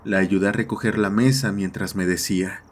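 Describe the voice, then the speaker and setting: A man's voice: deep voice, steady tone, brisk. A man reading a scripted horror story as its narrator.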